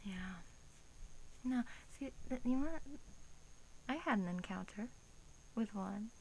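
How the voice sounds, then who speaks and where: A woman playing a smug, predatory old female vampire in a soft-spoken ASMR roleplay, musing and slipping into whispers. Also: medium pitch, lively intonation, slow, a little hiss or hum, poor audio. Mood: smug, disdainful.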